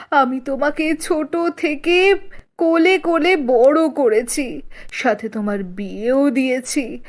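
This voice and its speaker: A hard-hearted cartoon father acted out by a woman, high in pitch. He speaks emphatically and slowly, full of melodrama. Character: hard-hearted father, later a pleading betrayed old man. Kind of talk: children's cartoon dubbing.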